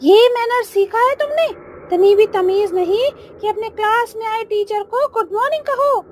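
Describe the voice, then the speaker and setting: A woman's voice: very high-pitched, normal speed, a little mellow, slight background noise. A woman voicing the vengeful ghost of a strict schoolteacher in a horror story, scolding and menacing. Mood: scolding, threatening. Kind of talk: horror cartoon story acting.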